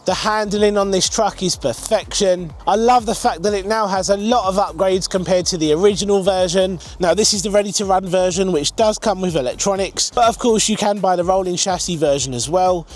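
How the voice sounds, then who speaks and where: A man's voice: high-pitched, fairly quick, hardly any pauses. A man presenting a casual, enthusiastic product review, talking chattily to his viewers as the host. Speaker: RC car reviewer and video host.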